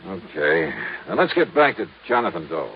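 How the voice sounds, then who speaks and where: A man's voice: low voice, big pitch swings, moderate pace. An American actor playing a wry, cool-headed male insurance investigator in 1950s radio detective style. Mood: wry, probing. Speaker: lead actor and narrator. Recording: muffled, noisy vintage radio recording.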